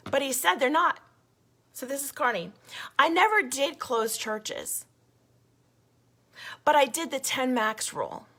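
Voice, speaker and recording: A woman hosting a casual livestream with a hoarse, failing voice, talking indignantly to her viewers. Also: sits high, very animated, unhurried, long pauses. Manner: indignant, gets louder when riled up. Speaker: activist livestream host.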